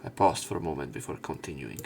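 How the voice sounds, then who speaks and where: Male let's play narrator: low pitch, story-reading delivery, faint music behind. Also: fast, gravelly, a little mellow. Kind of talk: reading visual novel script aloud, dramatic narration. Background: faint game music.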